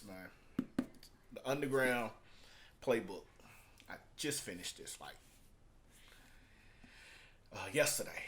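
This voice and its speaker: A man hosting a casual, unscripted video as a music producer and entrepreneur, speaking haltingly with emphatic repetition and shifting between subdued and hyped delivery. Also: medium pitch, a bit bright, a little hiss or hum. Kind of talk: casual unscripted vlog monologue.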